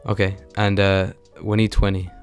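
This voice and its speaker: A young man with a deep voice hosts a casual podcast. His intonation stays level and laid-back, with soft guitar music playing behind him. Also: monotone, normal speed, smooth. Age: young adult. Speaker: podcast host. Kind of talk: casual podcast interview chat. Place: small dim room at home. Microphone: close desk microphone with headset.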